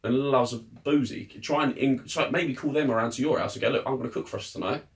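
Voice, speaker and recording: Fast-talking man, chatty. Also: mid-range voice. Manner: chatty, emphatic, laughs often. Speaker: podcast co-host. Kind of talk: casual chat.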